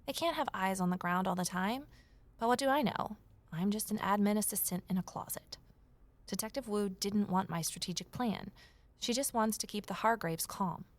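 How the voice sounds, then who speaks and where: A woman's voice: medium pitch, moderate pace, natural voice. A woman playing an earnest, observant office assistant turned amateur sleuth, narrating and speaking in an audio drama. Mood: frustrated, brooding. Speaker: narrator and lead character. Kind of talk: first-person audio drama narration and dialogue.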